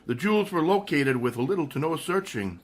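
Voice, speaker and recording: A man playing a suave, wealthy amateur sleuth with measured, precise speech in a radio mystery. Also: a little high, expressive. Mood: composed.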